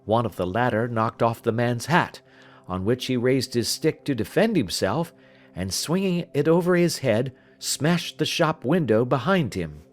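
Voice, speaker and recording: A man voicing a confident, analytical gentleman detective in an audiobook, speaking in a precise, measured, lecturing manner. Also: medium pitch, lively intonation, soft background music, natural voice. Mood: matter-of-fact recounting. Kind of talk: audiobook character dialogue.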